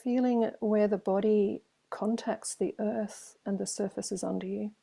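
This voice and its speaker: A woman leads a guided meditation as a teacher. Her voice is dark and relaxed, with very little rise and fall. She speaks gently and soothingly, leaving long silences between short phrases. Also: medium pitch, vocal fry, breathy edge. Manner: slow, gentle, soothing delivery with long pauses.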